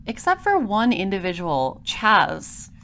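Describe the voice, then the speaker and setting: A woman playing a dry, inquisitive space detective in an audio drama, speaking formally and deliberately. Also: medium pitch, sing-song, heavy background noise. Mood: businesslike. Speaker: voice actor. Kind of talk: audio drama dialogue and first-person noir narration.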